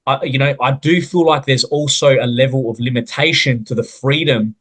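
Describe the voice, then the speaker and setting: A man's voice: medium pitch, normal speed, fairly bright tone. A man with an Australian accent hosting a podcast interview, speaking in an earnest, wordy way. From South Australia. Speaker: podcast host.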